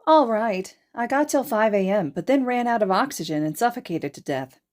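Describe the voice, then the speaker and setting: A woman voicing a lively, chatty teenage schoolgirl in a story. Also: on the high side, moderate pace, voice pushed up, lightly acted.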